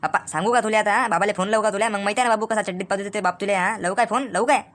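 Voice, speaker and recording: Woman acting hot-tempered male cartoon tuition teacher, Vidarbha Marathi accent, very fast scolding, medium pitch. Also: a bit bright, lightly acted. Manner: scolding, rapid-fire repeated questions. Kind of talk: comic cartoon dialogue.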